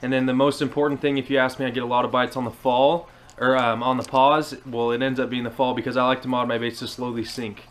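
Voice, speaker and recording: A man's voice: mid-range voice, flat delivery, quick pace, few pauses. A man in his twenties with an American accent, giving a casual how-to talk to a camera in a living room. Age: 20s. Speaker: fishing vlogger. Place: indoors, small living room. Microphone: action camera on table at arm's length.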